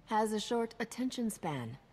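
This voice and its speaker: A woman's voice: on the high side, very animated, normal speed, darkish tone, slightly rough, in a large hall. A woman playing a tough, wry sci-fi hero in a video game, speaking in a cool, dry way. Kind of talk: video game cutscene acting.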